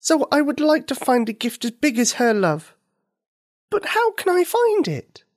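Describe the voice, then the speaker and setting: A woman voicing a loving, earnest little girl in a children's story, with a high, pleading childlike voice. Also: very animated, unhurried, a little mellow.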